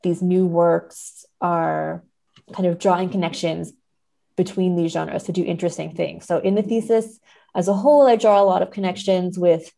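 Low-pitched, creaky-voiced woman scholar. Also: fairly even intonation, moderate pace, warm tone. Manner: hedging, careful explanations. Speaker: podcast guest, literary scholar. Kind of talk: thoughtful academic interview talk.

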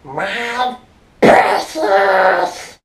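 A wretched, obsessive creature hisses in a strained, high, rasping voice. The voice swoops around a lot, and the room adds echo. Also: male voice, huge pitch swings, very slow, exaggerated voice.

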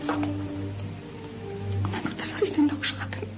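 An actress playing a frightened, despairing wife who awaits her murder, speaking haltingly in a German radio crime drama. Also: lightly acted. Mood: whispered dread. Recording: 1990s radio play with music behind.

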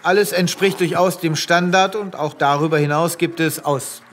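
An actor playing a pompous, formal and easily flustered space veterinarian in a comic sci-fi radio play. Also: male voice, fairly high pitch, fairly even intonation, moderate pace, fairly bright tone, slight background noise, close to an everyday voice. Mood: officious, patronising. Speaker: lead actor in an audio drama.

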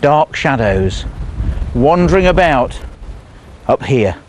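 A middle-aged man presenting to camera outdoors among castle ruins, measured and dropping low for the eerie parts. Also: big pitch swings, a little hiss or hum. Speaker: ghost tour presenter.

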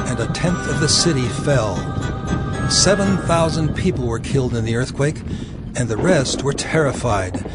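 A man giving solemn, deliberate dramatic narration of scripture. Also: mid-range voice. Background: loud music.

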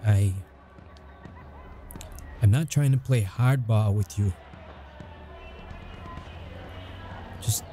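An actor playing a grumpy but caring military intelligence interrogator in an ASMR audio roleplay, speaking in measured, halting phrases. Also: male voice, on the low side, dark tone, a little hiss or hum. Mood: concerned, soothing. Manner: measured, halting, deliberate delivery.

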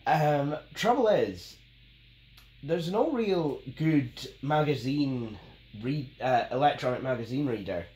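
A Scottish man in his thirties, nasal and hoarse from a cold, chatting casually as a tech host, rambling with many ums. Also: mid-range voice, animated, slow, a little mellow. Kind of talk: casual unboxing and review. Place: small home office, indoors. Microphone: camera mic at the desk. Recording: roomy, faintly noisy home recording.

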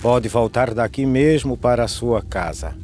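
Animated film role: male actor as resigned rural pallbearer, mid-pitched, solemn verse over clear music. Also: measured pace, natural voice. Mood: grave, resigned. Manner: solemn, rhythmic, dropping on refrains. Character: grave male peasant pallbearer, resigned, matter-of-fact.

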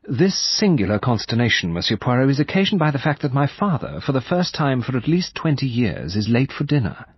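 A man's voice: mid-range voice, sing-song, husky, dry recording, lo-fi sound, pitched above the performer's own voice. Man putting on an arch, drawling young society lady. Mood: arch, bored. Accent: upper-class English. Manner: slow affected drawl, arch. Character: proud young society woman, affected drawl.

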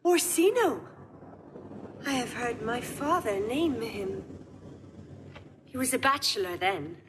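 Slow-spoken, earnest young noblewoman. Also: fairly high pitch, animated, background noise. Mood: curious. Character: earnest, resolute young noblewoman planning a disguise. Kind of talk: classical Shakespearean audio drama acting.

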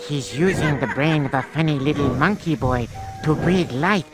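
Man as a formal monster butler over loud music. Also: a little high, moderate pace, close to an everyday voice. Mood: dutifully gloomy. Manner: formal, servile, droll. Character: gloomy, hulking monster butler, dutiful servant.

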